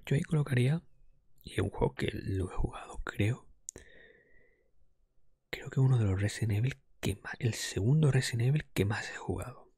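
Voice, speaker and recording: A man talking in a casual, breathy ASMR whisper close to the microphone, thinking aloud with some hesitation. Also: medium pitch, lively intonation, moderate pace, long pauses, a little mellow, no echo. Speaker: ASMR podcast host. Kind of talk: whispered ASMR talk, casual game ranking.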